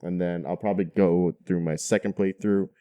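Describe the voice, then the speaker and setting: A man with a fairly low voice chats as a podcast co-host. His delivery is casual and slangy, with a jokey, giggly streak. It sounds like relaxed, unscripted talk between gamers. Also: moderate pace, clear, resonant tone. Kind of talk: casual video game chat.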